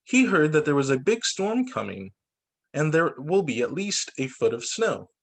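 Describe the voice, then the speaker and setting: A firm, patient mother in a children's story, read by a male teacher. Her lines come out steady and lively. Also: on the high side, normal speed, vocal fry, exaggerated voice. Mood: scolding.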